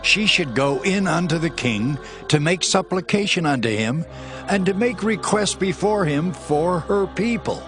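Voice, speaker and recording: Anxious petitioner: high male voice, pleading, audio Bible drama under prominent music. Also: expressive, a little slow. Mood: urgent pleading. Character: anxious man urging the queen to plead for her people. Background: background music.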